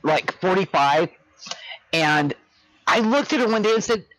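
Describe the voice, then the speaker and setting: A woman's voice: on the low side, animated, a little slow, dry recording, poor audio. A woman in her fifties chatting casually on voice chat, teasing and laughing often. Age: 50s. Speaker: streamer and raid player.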